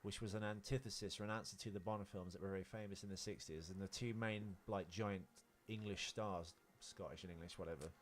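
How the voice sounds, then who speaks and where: A man's voice: sits low, fairly even intonation, a little fast, few pauses, slight background noise. A man co-hosting a podcast, chatting casually about films and celebrities in a rambling, sweary way. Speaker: podcast co-host.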